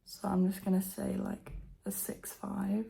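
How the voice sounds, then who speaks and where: A teenage girl vlogging at home, her voice croaky from just waking up, talking softly and half-whispering. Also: medium pitch, flat delivery, a little slow. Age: teens. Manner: soft, half-whispered, nervous chatter. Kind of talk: casual to-camera vlog. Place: at home indoors.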